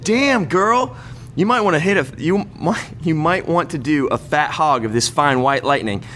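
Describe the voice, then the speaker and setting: Man putting on a brash, higher-pitched stoner party guy. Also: moderate pace, soft background music. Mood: excited, brash. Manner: slangy, brash, excitable. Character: crude, cocky young male stoner partygoer.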